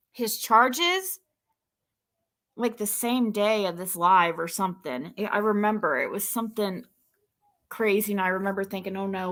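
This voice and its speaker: A woman's voice: mid-range voice, bright, forceful tone. A young woman hosting a livestream, chatting in a rambling, self-correcting way as she reacts to an old clip. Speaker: livestream host. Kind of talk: casual livestream commentary.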